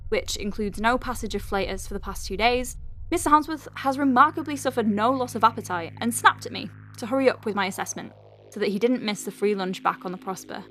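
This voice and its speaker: A woman's voice: on the high side, fairly quick, fairly bright tone, close to an everyday voice. A woman playing an exasperated, wry doctor at a space clinic in an audio drama, with a sardonic, conversational delivery. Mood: dry, clipped dictation. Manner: sardonic, conversational, hesitant asides. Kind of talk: audio drama monologue, dictating a letter. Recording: music behind the voice. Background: music.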